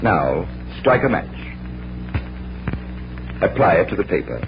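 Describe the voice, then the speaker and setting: An actor playing a confident magician detective, commanding and instructive, in an old-time radio drama. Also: male voice, sits low, sing-song, gravelly, soft background music, exaggerated voice. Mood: showmanlike, instructive. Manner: brisk, authoritative explaining. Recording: muffled old radio recording with hum.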